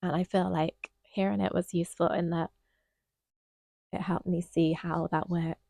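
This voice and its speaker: A woman co-hosting a casual dance review, talking thoughtfully with frequent pauses and a slightly croaky voice. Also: lowish voice, level tone, dark tone, no echo, studio quality. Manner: thoughtful, hesitant with frequent ums.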